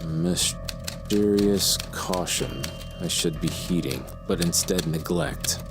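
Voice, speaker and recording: Guy acting a creepy brooding killer, super low gravelly voice, slow theatrical audio drama monologue. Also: steady tone, music in the background, heavily acted. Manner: grandiose, theatrical, deliberate. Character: menacing, brooding male serial strangler, self-absorbed killer.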